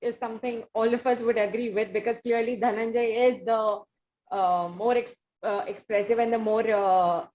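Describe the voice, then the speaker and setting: A woman speaks as an interview host. Her voice is a little high and her phrasing measured and articulate, on a slightly dull recording. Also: fairly even intonation, a little mellow, a little hiss or hum. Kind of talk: interview chat.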